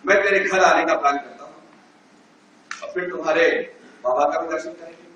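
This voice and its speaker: A man's voice: fairly high pitch, fairly quick, bright, forceful tone, very echoey, muffled recording, a little hiss or hum. An older man on an amateur stage reading letters to an old friend aloud, jovial and teasing, heard from far off in a large auditorium. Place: large auditorium, no sound system.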